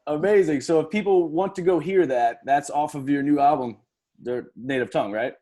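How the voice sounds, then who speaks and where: A man's voice: on the high side, moderate pace, warm tone. A male radio host with a slightly raised voice chats in a chummy, joking way. He teases his guests and keeps the conversation light. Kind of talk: casual radio interview.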